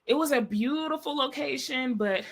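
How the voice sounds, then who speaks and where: A woman in her thirties with a high voice tells a personal story in relaxed podcast chat. She slips into quoting the people she talks about. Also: moderate pace. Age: 30s. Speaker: podcast co-host. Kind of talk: casual chat.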